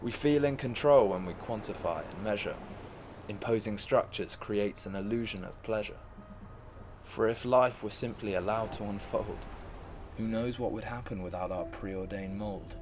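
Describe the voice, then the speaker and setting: A man playing a troubled, earnest mortal who questions Death in measured, solemn rhyme. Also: lowish voice, a little mellow, a little hiss or hum, natural voice. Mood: earnest, defensive. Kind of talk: rhymed poetic monologue.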